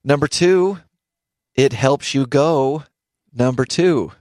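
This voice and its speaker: A man's voice: medium pitch, slow pace, darkish tone, no echo, clean studio sound. A middle-aged man hosting a radio health show, chatting casually, laughing often and stumbling over repeated words as he reads. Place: radio studio. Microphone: studio microphone.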